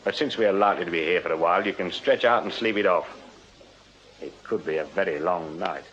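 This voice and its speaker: An actor playing an unyielding Victorian police sergeant in a radio drama, English-accented, brisk, authoritative and dryly sardonic. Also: male voice, low voice, a bit bright, muffled recording, slight background noise. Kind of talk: full-cast period radio drama acting.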